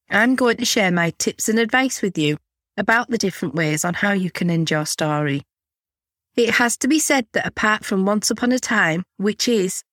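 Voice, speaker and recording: A woman in her forties hosting a chatty solo podcast, candid and a little cheeky, her voice slightly hoarse from a cold. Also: lowish voice, a bit bright, no echo, clean studio sound. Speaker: podcast host.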